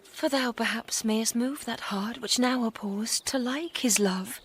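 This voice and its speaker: A woman playing an ardent young lady in radio Shakespeare. She speaks verse slowly in a fairly high, pleading voice, with faint ambient noise behind. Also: lightly acted. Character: lovestruck, proud young noblewoman.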